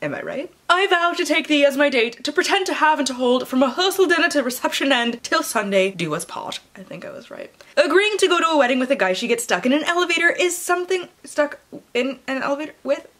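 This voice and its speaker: A young woman with a fairly high voice that swings widely up and down. She talks like a book vlogger at home, switching between casual chat and dramatic blurb reading. Her delivery is playful and theatrical, with sarcastic asides. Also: expressive, quick pace, fairly bright tone. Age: young adult. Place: small room at home lined with bookshelves.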